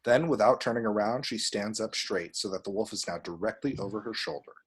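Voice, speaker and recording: A man reading screen directions aloud as expressive scripted narration. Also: on the low side, fairly quick, breathy edge. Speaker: reader of action descriptions.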